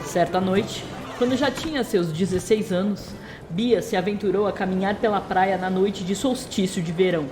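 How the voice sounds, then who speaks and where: A woman's voice: mid-range voice, normal speed, over music. A woman narrating a scripted audio drama as its storyteller.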